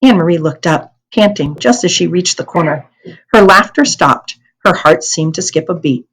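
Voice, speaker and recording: A woman's voice: on the low side, expressive, moderate pace, husky, rough recording. An American woman reading a storybook aloud as a teacher would, expressively and carefully, with small stumbles and corrections. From United States.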